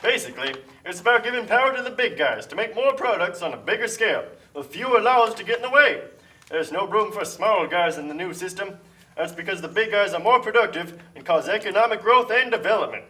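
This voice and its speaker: A man voicing a pompous puppet-show pitchman for free trade, lecturing smugly like a salesman. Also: expressive, a little fast, pushed and bright, far from the mic, poor audio. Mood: smug, promoting. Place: community TV studio.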